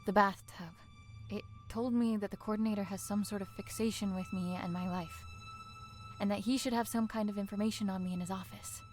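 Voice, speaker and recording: A woman's voice: mid-range voice, steady tone, fairly quick, lightly acted. Woman acting a tired troubled lab worker in a video game, earnest n hesitant, faint music. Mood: hesitant, guarded. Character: Annie, weary conscripted female test worker, earnest and troubled.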